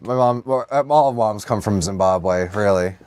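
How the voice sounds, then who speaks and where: A man's voice: lowish voice, moderate pace, a little mellow, clean tone. Man, podcast co-host, casual comedy chat, profane slang, jokey.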